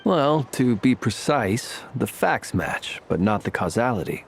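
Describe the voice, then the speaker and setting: An actor playing a composed, enigmatic gentleman warrior in a video game, formal and precise. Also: medium pitch, very animated, unhurried, creaky, slight background noise. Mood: wryly amused. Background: music.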